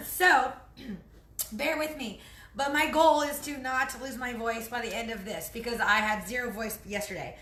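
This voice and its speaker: A woman fitness trainer chatting casually through a home cooking vlog, hoarse from losing her voice, rambling and clearing her throat. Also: on the high side, unhurried, sharp tone, echoey room. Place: home kitchen.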